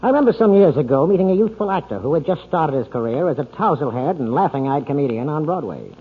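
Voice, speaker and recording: A man's voice: on the high side, expressive, fast talker, husky. A man hosting and narrating a 1940s radio drama in a polished, theatrical announcer style. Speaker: radio show host and narrator. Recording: tinny 1940s radio broadcast recording.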